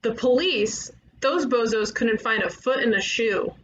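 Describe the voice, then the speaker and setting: A woman playing a brash, eager young ghost detective, cheeky and emphatic, in an animated comedy table read. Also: a little high, a little slow, highs cut off, close to an everyday voice. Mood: scornful.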